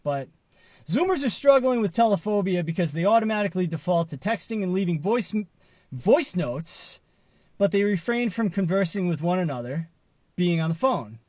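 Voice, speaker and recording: A man's voice: high voice, lively intonation, moderate pace, darkish tone, dry recording. A man around his late thirties or forties giving casual, conversational news commentary to camera, with emphatic stresses. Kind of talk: casual commentary to camera, part read from an article. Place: inside a parked car.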